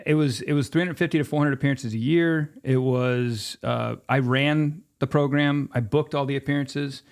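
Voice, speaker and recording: Male, 50s, medium pitch. Interview guest spinning anecdotes informally, voicing both sides of remembered exchanges. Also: moderate pace, smooth. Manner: animated retelling with quoted dialogue. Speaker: podcast guest, former sports mascot performer. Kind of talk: casual storytelling in an interview.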